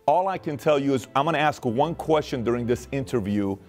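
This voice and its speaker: A man's voice: medium pitch, brisk, soft background music. A man in his thirties from Iran, hosting a studio interview, talking earnestly with lots of fillers and gestures. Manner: animated, earnest, with many fillers and hand gestures. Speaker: host. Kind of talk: interview and show intro. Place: studio set with wood-panel wall.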